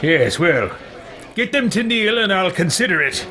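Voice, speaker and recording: A man voicing a pompous, power-hungry mage and would-be emperor in theatrical, drawn-out roleplay over a video game. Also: high voice, sing-song. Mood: smug, haughty. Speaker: let's play host. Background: loud game music.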